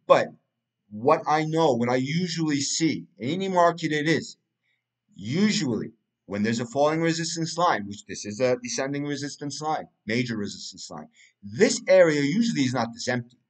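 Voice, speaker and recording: A man's voice: on the high side, expressive, normal speed. A man, a Turkish speaker, talking through a price chart in casual analysis, rambling with many fillers and restarts. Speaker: crypto market analyst.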